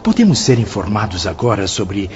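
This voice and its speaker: An actor playing a polite, inquisitive and insistent newcomer to the spirit world in a radio drama. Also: male voice, fairly low pitch, big pitch swings, moderate pace, darkish tone, muffled recording, a little hiss or hum. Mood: curious, insistent. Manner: polite, probing.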